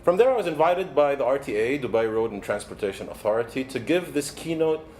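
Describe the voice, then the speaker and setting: A man in his forties from Egypt, a native Arabic speaker, delivers a motivational keynote as a coach in an earnest, measured, deliberate way. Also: mid-range voice, expressive, darkish tone. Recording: background noise, ambient sound.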